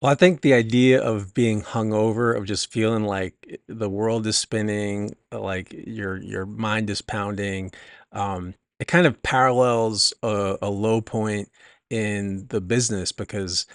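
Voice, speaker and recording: A man being interviewed as an author and business coach, talking conversationally in a halting, reflective, explanatory way. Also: fairly low pitch, steady tone, clear, resonant tone, no room sound, studio quality.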